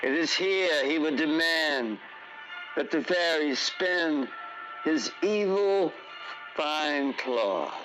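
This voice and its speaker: A man narrating a fantasy tale in radio-theatre style, grandiose and deliberate, with long pauses. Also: fairly high pitch, animated, rough recording. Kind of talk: dramatic scripted fantasy storytelling, old radio theatre. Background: music under the narration.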